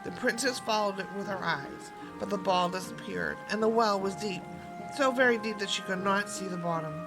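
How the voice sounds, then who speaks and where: A woman storyteller giving an expressive, scripted narration of a fairy tale. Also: mid-range voice, normal speed, rough recording. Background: music.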